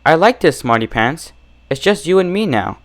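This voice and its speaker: An unhinged female pony from a dark storybook, played by a man. The delivery is childishly sweet and coaxing, with an obsessive, possessive edge and swinging pitch. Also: sing-song, moderate pace. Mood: obsessive, dreamy.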